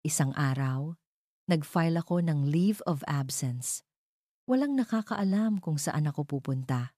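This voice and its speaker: A woman reading a dramatic first-person story as a narrator, in a measured, deliberate way. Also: deep voice, expressive, dark tone, no echo, clean studio sound.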